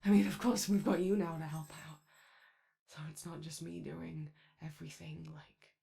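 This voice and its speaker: A woman voicing an anxious, eager and gentle robot daycare attendant in an ASMR roleplay, speaking in a hesitant, rambling way. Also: low voice, little pitch movement, vocal fry. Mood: flustered, rambling. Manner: hesitant, rambling, halting speech. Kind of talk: improvised-sounding ASMR character roleplay.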